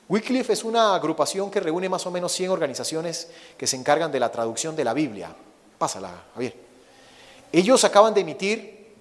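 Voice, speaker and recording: A Venezuelan man with a Venezuelan Spanish accent, a missionary preaching and praying fervently, slowing to stress his words. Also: a little high. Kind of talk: sermon with opening prayer. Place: church sanctuary. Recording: long reverb of a church hall.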